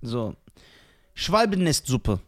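Lively man reading out a list. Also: mid-range voice, takes it slowly. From Iran. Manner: animated, mock-dramatic when reading entries aloud. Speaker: podcast co-host. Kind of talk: casual chat, reading out a list.

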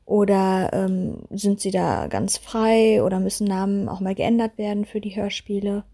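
A woman's voice: mid-range voice, normal speed, warm tone. A woman asking a question in a recorded voice message as a listener.

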